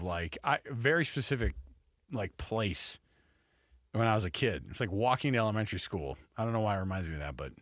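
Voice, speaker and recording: A man's voice: lowish voice, a bit bright, dry recording. A man hosting a sports radio show, talking casually and repeating phrases for emphasis into a close studio microphone. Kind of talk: casual sports talk commentary.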